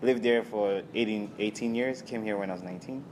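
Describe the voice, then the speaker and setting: A young man from Nigeria, a university student answering interview questions in a relaxed, casual way, often checking in with "right?". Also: lowish voice, brisk, a little hiss or hum, low-quality recording. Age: young adult. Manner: relaxed, tags sentences with "right?".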